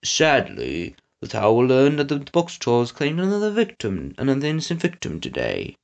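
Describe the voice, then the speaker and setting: A reader voicing a pompous, scheming villain boss, a man with a slow, drawn-out, menacing delivery in a storybook reading. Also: mid-range voice, wildly swooping pitch, gravelly. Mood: cold menace.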